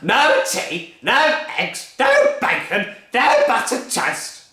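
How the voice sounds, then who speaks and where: A reader voicing an enormous, greedy old grandmother who shouts and bosses everyone, in an echoey room. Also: medium pitch, huge pitch swings, slow pace, voice pushed up, exaggerated voice. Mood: shouting. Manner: shouting, bossy.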